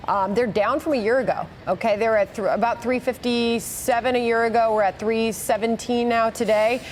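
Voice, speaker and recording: A woman's voice: fairly high pitch, fairly even intonation, a little fast, a little hiss or hum. An American woman anchoring a news broadcast and putting questions to a guest.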